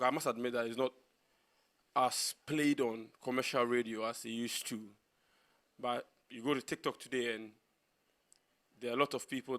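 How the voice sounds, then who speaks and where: A man's voice: medium pitch, fairly bright tone. A man giving a reasoned, balanced opinion in conversational panel talk. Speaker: panelist on a TV discussion show.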